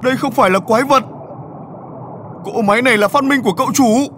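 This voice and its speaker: An actor voicing an excitable, loyal male servant in a children's cartoon, with an urgent, exclamatory delivery. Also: mid-range voice, fast, a little hiss or hum, natural voice. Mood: alarmed. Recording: music under the voice.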